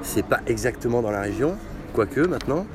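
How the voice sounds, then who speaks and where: A man's voice: medium pitch, expressive. Hesitant middle-aged man, street noise. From France. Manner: hesitant, many pauses and fillers. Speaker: bar owner. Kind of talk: casual interview.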